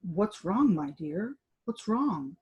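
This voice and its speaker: A woman's voice: medium pitch, big pitch swings, takes it slowly, mellow, breathy edge, dull audio, exaggerated voice. A woman voicing an anxious, doting male suitor in a children's storybook, fretful and polite, repeating himself. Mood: fretful, worried.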